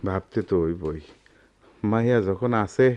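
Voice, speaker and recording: A man playing an ageing, gentle village goldsmith and worried father in a Bengali radio drama, weary and reflective, with a rural Bangladeshi accent. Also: deep voice, measured pace, clean tone, dull audio. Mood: pensive, regretful. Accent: rural Bangladeshi dialect.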